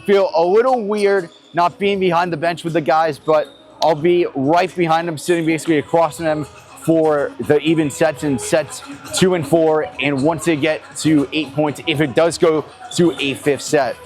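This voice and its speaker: A man's voice: a little high, fairly even intonation, normal speed, a little mellow. A man speaking informally as a host, giving a casual on-camera tour and doing interviews, often restarting his phrases. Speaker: show host and tour guide. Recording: music behind the voice. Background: music.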